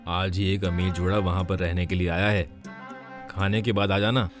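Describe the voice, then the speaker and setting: A man's voice: deep voice, level tone, normal speed, over music, natural voice. A man playing a scheming gambler and bandit villain in a moral story. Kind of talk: dramatised Hindi moral story.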